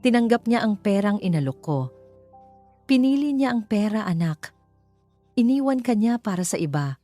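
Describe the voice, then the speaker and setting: A woman's voice: a little high, expressive, moderate pace, dark tone, faint music behind, voice pushed up, natural voice. A woman voicing a cold, commanding, rich older mother with a Filipino accent in a narrated romance story. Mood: cold, cutting.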